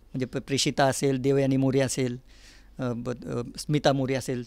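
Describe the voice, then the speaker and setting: A man's voice: mid-range voice, little pitch movement, normal speed, creaky, a little mellow, dry recording. A man with a Maharashtrian accent explaining things earnestly as a guest in an interview. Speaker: interview guest, folk artist and academic department head. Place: recording studio.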